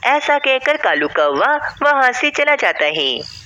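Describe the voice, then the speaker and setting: Lively, bright-voiced woman storyteller. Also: sits high, slow pace, muffled recording, a little hiss or hum. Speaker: narrator. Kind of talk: scripted storybook narration for cartoon.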